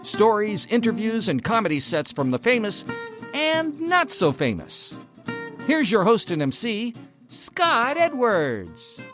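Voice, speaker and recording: A woman's voice: mid-range voice, wildly swooping pitch, slow pace. A woman announcing a scripted podcast introduction. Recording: plucked guitar music behind.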